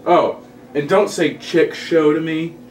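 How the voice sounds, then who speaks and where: Man playing an excitable guy, highish, echoey. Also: slow. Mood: excited. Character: excitable, teasing young guy gossiping with his buddy. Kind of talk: table read of a comedy script. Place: indoor room, group table read. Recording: echoey room, distant mic, faint noise.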